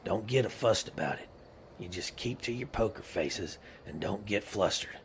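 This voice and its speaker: A deep, gravelly outlaw boss gives orders in a rural Western drawl. He is scheming and menacing, commanding yet slyly coaxing, a villain from a western radio play with noise behind him. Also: animated, moderate pace. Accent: rural American Western drawl. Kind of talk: western comedy audio drama acting.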